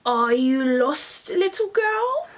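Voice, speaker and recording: A woman voicing a menacing, hungry male wolf villain in a children's storybook, calling in a drawn-out, taunting singsong. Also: high-pitched, sing-song, voice pushed up, heavily acted. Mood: sly, coaxing. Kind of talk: children's storybook character reading.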